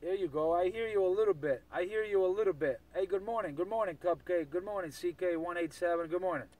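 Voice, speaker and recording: A man's voice: sits high, fast. A man making casual CB radio chatter in a slangy, repetitive way. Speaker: CB radio operator. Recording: noisy CB radio, heard from afar.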